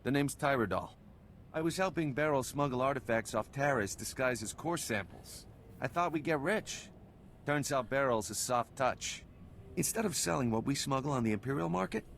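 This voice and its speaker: An actor playing a greedy, cynical smuggler and counterfeiter in a video game, with a gravelly voice. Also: male voice, mid-range voice, a bit bright, a little hiss or hum.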